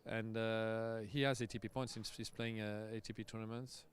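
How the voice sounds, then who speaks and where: A man's voice: on the low side, flat delivery, normal speed, clean tone. A man, a tennis coach hosting casual live commentary, chatty and loose with easy laughs. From France. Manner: chatty, loose, laughs often, restarts sentences.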